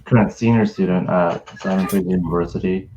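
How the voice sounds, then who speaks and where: A man's voice: low-pitched, steady tone, brisk, a little mellow, muffled recording, a little hiss or hum. A young man from Canada in his twenties, a student telling a story on a video call, hesitant with many fillers and restarts. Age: 20s. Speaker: university student panelist. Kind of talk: casual storytelling on a webinar.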